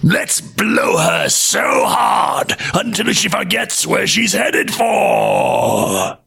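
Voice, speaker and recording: Angry wind spirit, menacing, shouted, very slow drawn-out words, raised pitch, storybook villain. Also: male voice, huge pitch swings, gravelly, breathy edge, heavily acted. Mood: furious, menacing. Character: furious, menacing whirlwind spirit of the mountain.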